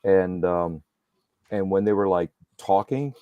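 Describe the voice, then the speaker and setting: A man with a deep, radio-ready voice trades teasing banter as a podcast co-host. He tells stories in an easygoing, relaxed way. The sound is dry and close, with no room around it. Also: slow, no room sound, phone-quality sound. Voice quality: radio-style voice. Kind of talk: casual chat.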